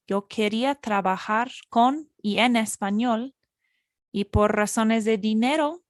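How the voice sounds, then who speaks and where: A woman in her thirties narrates a bilingual story for language learners. She reads at a slow, deliberate pace in a mid-range voice. The recording is dry and close. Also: mid-range voice, dry recording. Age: 30s. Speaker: Spanish teacher and podcast host.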